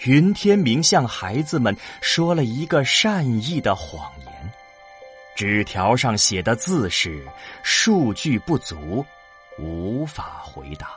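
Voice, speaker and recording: Casting: male radio-drama narrator, unhurried, expressive intonation, music underneath. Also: low voice. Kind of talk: scripted dramatic story narration.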